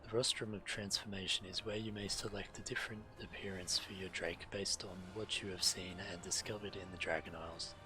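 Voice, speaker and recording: A man streaming a game, reading quest text aloud in a measured, deliberate way while he plays. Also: sits low, steady tone. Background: fantasy game music.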